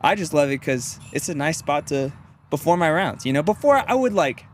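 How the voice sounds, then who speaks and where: A man's voice: a little high, big pitch swings, a little fast. A young man in his early twenties from Louisiana, talking in a slightly raised voice with an easygoing, rambling flow. Traffic noise hums faintly outdoors behind him. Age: 20s. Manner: easygoing, rambling, frequent "you know what I mean". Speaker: disc golf pro, interview guest. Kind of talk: casual interview chat.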